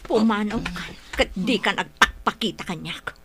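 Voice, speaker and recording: A woman's voice: mid-range voice, normal speed, close to an everyday voice. An actress with an Ilocano accent playing a hurt, furious young woman betrayed by her friend in a radio drama, accusing and tearful. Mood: bitter, wounded. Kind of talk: Filipino radio drama acting.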